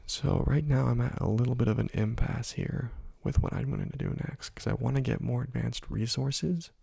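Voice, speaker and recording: A man hosting an ASMR gameplay video, talking softly into a close microphone, rambling with many fillers and slipping into whispers. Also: medium pitch, moderate pace, vocal fry, breathy edge, no room sound. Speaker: ASMR gaming channel host. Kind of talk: soft-spoken ASMR gameplay commentary.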